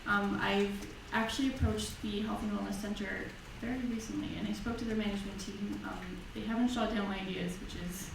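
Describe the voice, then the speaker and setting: A woman's voice: medium pitch, fairly even intonation, fairly quick, vocal fry, hardly any pauses, background noise. A young woman, a student election candidate, speaking earnestly with frequent ums. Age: young adult. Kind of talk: debate answer. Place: university lecture hall. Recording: echoey lecture hall, distant mic.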